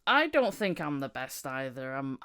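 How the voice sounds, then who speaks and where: A woman's voice: very deep voice, sing-song, normal speed, fairly bright tone, clear, resonant tone. A woman hosting a podcast, chatting in a casual, self-deprecating way with a guest. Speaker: podcast host. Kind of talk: casual interview chat.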